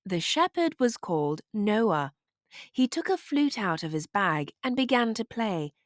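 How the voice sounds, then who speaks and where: Slow, lively woman storyteller. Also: medium pitch, no echo, studio quality. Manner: expressive, deliberate storytelling. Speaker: storybook narrator. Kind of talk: children's read-aloud narration.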